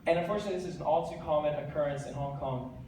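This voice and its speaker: A teenage man with an American accent, giving an earnest, energetic stage talk with light self-deprecating jokes. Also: a little high, racing. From United States. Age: teens. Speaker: TEDx speaker. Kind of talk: stage talk to a live audience. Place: large hall. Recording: very echoey hall, voice from a distance, faint background noise.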